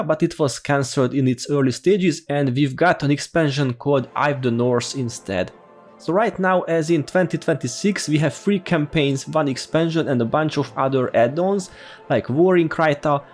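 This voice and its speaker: A man with a strong Hungarian accent talks newcomers through a game in a friendly, informal way. His voice sits somewhat high for a man. Soft music plays faintly beneath the voice-over. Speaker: gaming YouTuber.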